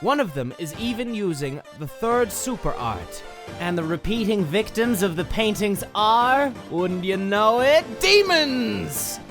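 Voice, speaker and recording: A man voicing an excitable, bookish cup-headed cartoon brother in a comic dub, lecturing emphatically. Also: sits high, unhurried, heavily acted. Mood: eager lecturing. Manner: emphatic, rambling lecture delivery. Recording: loud music behind the voice.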